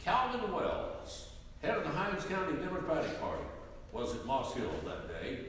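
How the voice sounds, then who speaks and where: A man narrating history from a script in a live dramatic reading, deliberate and theatrically emphatic. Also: on the high side, huge pitch swings, fairly bright tone. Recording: echoey hall, distant mic, faint noise.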